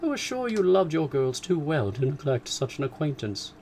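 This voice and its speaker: A man voicing an excitable, complaining middle-aged mother, raised well above his own voice with emphatic swoops. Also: medium pitch, brisk, a little hiss or hum, pitched above the performer's own voice, close to an everyday voice. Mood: gushing.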